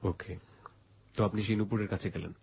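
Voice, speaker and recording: Bangladeshi male radio host, rapid questioning, mid-range voice, slightly muffled sound with faint noise. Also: on the low side, steady tone, gravelly, darkish tone. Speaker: radio show host interviewing a guest. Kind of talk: probing interview questions.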